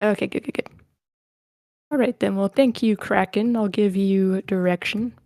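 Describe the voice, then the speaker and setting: A woman's voice: medium pitch, steady tone, normal speed, gravelly, dark tone, dry recording, studio quality. A woman hosting an online table read, talking casually and informally as she organizes the cast. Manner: informal, chatty. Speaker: host of online script table reads.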